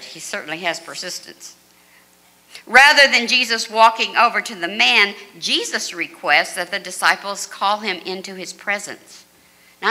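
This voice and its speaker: An older woman pastor delivering a measured, earnest sermon at a lectern mic in a church sanctuary. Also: medium pitch, sharp tone. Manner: measured, earnest preaching with dramatic quoted voices. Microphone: stand mic at a lectern, far camera. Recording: reverberant church hall.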